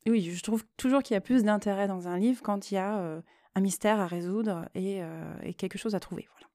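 A woman talking reflectively on her own as a podcast host, thoughtful and hesitant, often pausing mid-phrase. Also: medium pitch, dark tone, no echo. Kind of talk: reflective solo podcast talk.

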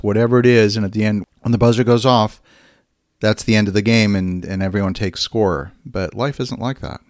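Low-voiced enthusiastic podcast host. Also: male voice. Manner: enthusiastic interjections. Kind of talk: interview questions.

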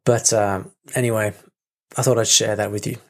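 A man's voice: on the low side, steady tone, normal speed. Chatty male podcast host. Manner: chatty, self-interrupting with fillers and laughs. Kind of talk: casual solo podcast talk.

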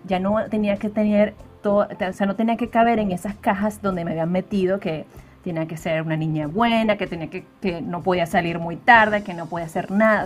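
A Venezuelan woman speaking as a podcast guest, telling her story in a reflective way with frequent fillers. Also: medium pitch. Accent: Venezuelan Spanish. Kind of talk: conversational interview.

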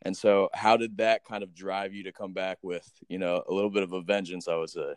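A man's voice: low-pitched, little pitch movement, brisk, no echo. A man hosting an interview show, asking questions in a casual, conversational style.

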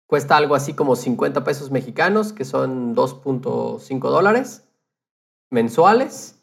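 A man's voice: mid-range voice, animated, unhurried, creaky. A man talking casually as a guest on a podcast about his field. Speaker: podcast guest, audio producer.

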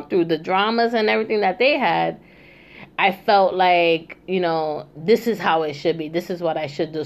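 Chatty young New woman. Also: lowish voice. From New York. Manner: chatty, many asides and fillers. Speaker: host. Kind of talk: casual chat.

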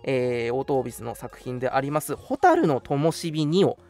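A man's voice: mid-range voice, animated, normal speed, a little mellow. A man hosting a casual radio show, chatting politely and making announcements over background music.

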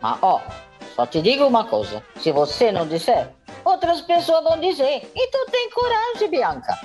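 A defiant, hot-headed sister from a comic radio soap, acted by a woman. Her pitch leaps about as she exclaims and mocks theatrically, peppering her talk with Italian words. Faint music plays behind her. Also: huge pitch swings, normal speed, darkish tone. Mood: urging, bold. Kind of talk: comedic radio soap opera acting.

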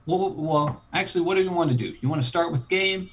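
A man's voice: a little high, fast talker, highs cut off. A man co-hosting a sports podcast, joking along and rambling through self-corrections. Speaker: podcast co-host. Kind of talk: casual sports chat.

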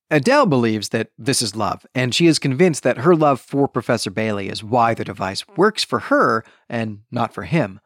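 Male book podcast host, mid-pitched voice, conversational and enthusiastic, self-correcting as he goes, close dry recording. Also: no room sound. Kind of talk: book discussion monologue.

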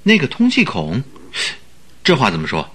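A man playing an earnest, excitable sidekick in a Mandarin radio drama, questioning and exclaiming in an admiring, puzzled way. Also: medium pitch, moderate pace, slightly rough, heavily acted.

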